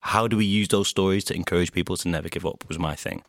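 A man from Bolton with a Bolton accent, a podcast guest telling stories in animated casual chat and acting out his own quotes. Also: sits low, quick pace, no echo, studio quality. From Bolton, England.

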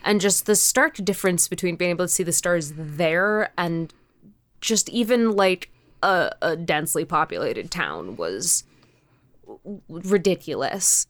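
A young woman talking casually as an interview guest, in an animated, halting, sweary and informal manner. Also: on the low side, dry recording. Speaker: podcast creator, interview guest.

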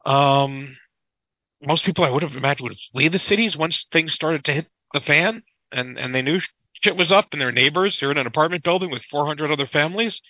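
A man's voice: on the high side, fairly quick, no room sound. Wry man, dry muffled sound. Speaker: podcast co-host. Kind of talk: casual podcast chat. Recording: dull lo-fi podcast audio.

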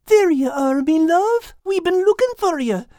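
A woman's voice: very high voice, expressive, measured pace, mellow, no room sound, clean studio sound. A woman voicing an affectionate, chatty female animal in an audiobook story, folksy and gushing. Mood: fond, puzzled. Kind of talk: animal story character acting.

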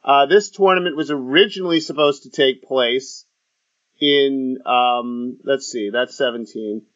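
A man hosting a casual sports podcast, talking in a halting way with many fillers and restarts. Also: medium pitch, fairly bright tone. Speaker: podcast host. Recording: muffled lo-fi audio.

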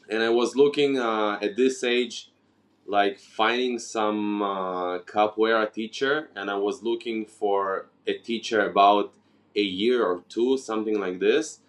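Slow, hesitant low-voiced man. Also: fairly even intonation. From Ukraine, raised in Israel. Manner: hesitant, many fillers and restarts. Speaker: guest. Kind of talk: casual interview conversation.

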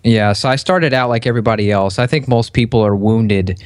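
A man in his twenties, a podcast guest, talking in a relaxed, conversational way. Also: fairly low pitch, a little fast, no room sound, clean studio sound. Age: 20s. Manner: relaxed, conversational, frequent fillers. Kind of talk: casual interview chat.

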